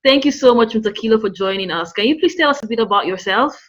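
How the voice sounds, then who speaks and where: Bright-voiced woman interviewer. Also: fairly high pitch, fast, dull audio. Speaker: channel host, interviewer. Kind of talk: casual interview questions.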